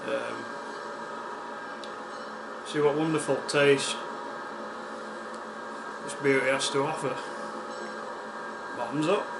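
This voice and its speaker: West yorkshire bloke doing a chatty beer review, slow and easy, lots of ums, air con humming in an echoey room. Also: male voice, fairly high pitch, fairly even intonation, noisy background. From West Yorkshire, England. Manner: unhurried, chatty, with many ums and uhs. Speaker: beer reviewer, channel host. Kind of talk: casual beer-tasting vlog.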